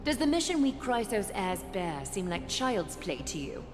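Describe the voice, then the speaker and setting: A woman's voice: medium pitch, sing-song, normal speed, natural voice. An actress playing an imperious, cold noblewoman in a video game, speaking formally with stern haughtiness. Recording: long hall reverb under loud music.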